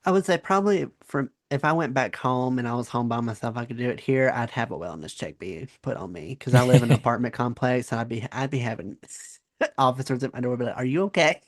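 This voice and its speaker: A man's voice: mid-range voice, expressive, fairly quick, no room sound, studio quality. A young man in his twenties hosting a podcast, chatty and self-deprecating. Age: 20s. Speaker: podcast host. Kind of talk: casual interview chat.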